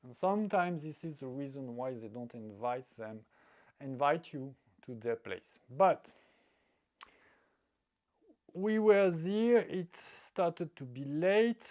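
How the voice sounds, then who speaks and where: Slow, halting man. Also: fairly high pitch, expressive, darkish tone. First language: French. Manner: halting, searching for words, many restarts. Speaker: dating advice vlogger. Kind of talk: storytelling monologue.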